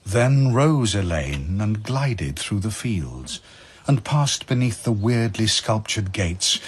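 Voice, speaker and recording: A man narrating verse as a dramatic storyteller, in a measured, solemn, literary manner. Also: lowish voice.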